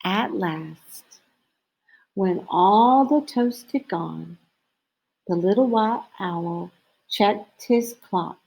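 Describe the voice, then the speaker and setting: A woman reading a children's story aloud in an expressive, storytelling manner with drawn-out phrases. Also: medium pitch, gravelly, poor audio. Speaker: storybook reader.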